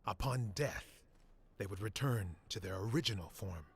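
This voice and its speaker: An actor playing a grave scholar in a fantasy game, speaking in a solemn, deliberate, explanatory way. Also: male voice, on the low side, gravelly, husky, full character voice. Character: grave male scholar briefing adventurers on ancient monsters. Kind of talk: scripted fantasy game cutscene acting.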